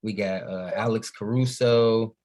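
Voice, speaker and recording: American man, low voice, slangy casual talk, podcast guest from Chicago. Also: fairly even intonation, a little slow. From Chicago, USA. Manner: slangy, keeps giving shout-outs.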